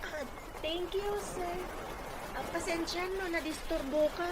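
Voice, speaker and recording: Extremely high-voiced, meek young woman played by a woman. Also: unhurried, background noise. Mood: shy, apologetic. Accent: Ilocano. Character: meek, polite, wrongly accused young woman. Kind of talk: dramatic audio serial acting.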